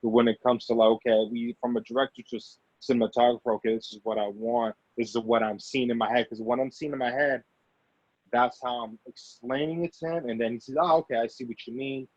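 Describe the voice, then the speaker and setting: A man's voice: mid-range voice, fairly even intonation, quick pace, no room sound. Male interview guest, conversational and rambling, repeats himself, dry but dull lo-fi recording. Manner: rambling, repeats and restarts phrases. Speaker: guest actor and filmmaker. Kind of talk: casual interview talk. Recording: dull lo-fi audio.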